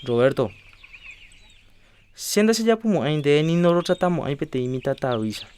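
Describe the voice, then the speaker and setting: A man's voice: on the high side, very animated, normal speed, a little mellow, slight background noise. Man as earnest Paraguayan health promoter, persuasive and lecturing, radio drama, faint birds outside. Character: earnest adult man urging health checkups. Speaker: Rafael, a community health promoter. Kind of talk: educational radio drama acting.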